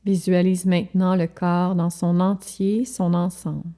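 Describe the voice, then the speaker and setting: A woman guides a relaxation meditation in a very soft-edged, mellow voice. Her pitch sits a little low and her delivery is very slow. She speaks calmly in short, measured phrases, leaving pauses between them. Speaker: yoga nidra instructor.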